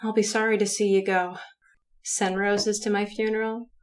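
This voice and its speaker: A woman acting in an old time radio drama, playing a seemingly soft young woman who is secretly a cold killer. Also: medium pitch, little pitch movement, moderate pace, lightly acted. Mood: tearful, resigned.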